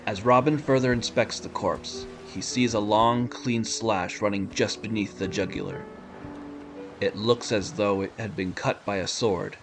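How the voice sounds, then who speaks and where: Male narrator for audio drama; lower register, scripted storytelling, prominent score behind. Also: measured pace. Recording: loud music under the voice.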